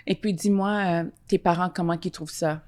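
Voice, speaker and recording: A woman's voice: on the low side, steady tone, fairly quick, darkish tone. Woman hosting, rambling friendly questions. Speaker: podcast host. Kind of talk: casual interview.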